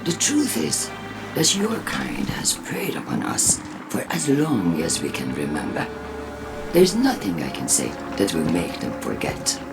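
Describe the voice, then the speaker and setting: A haughty female faction leader in a game cutscene, cold and disdainful. Speech is measured and slow with wide swings in pitch, over loud music. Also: huge pitch swings, heavily acted. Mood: cold, accusing. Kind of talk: video game cutscene acting.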